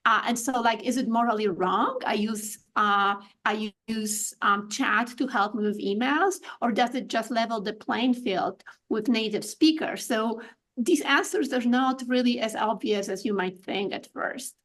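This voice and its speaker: A woman's voice: fairly high pitch, unhurried, fairly bright tone. A woman from Poland with a Polish accent, a guest on a podcast interview, speaking thoughtfully with many fillers and restarts. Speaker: guest artist.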